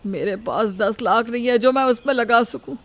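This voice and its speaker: A woman's voice: on the high side, normal speed, voice pushed up, close to an everyday voice. Woman acting scheming, theatrical grown son. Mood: feigned despair. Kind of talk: dramatised moral storytelling.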